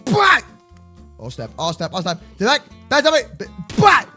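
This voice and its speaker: A man with a very high, bright and forceful voice does excitable gaming roleplay. He swings widely in pitch, repeats words and exclaims in slang, with music behind him. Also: normal speed, slightly rough. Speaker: host of a gaming roleplay video. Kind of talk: excited improvised Minecraft roleplay chatter for kids.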